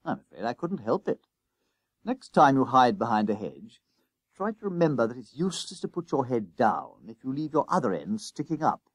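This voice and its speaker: Man as a kindly, courteous schoolmaster, lively intonation. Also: medium pitch, breathy edge, no room sound. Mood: kindly, wry. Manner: measured, courteous, gently wry. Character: kindly, polite, calm middle-aged schoolmaster.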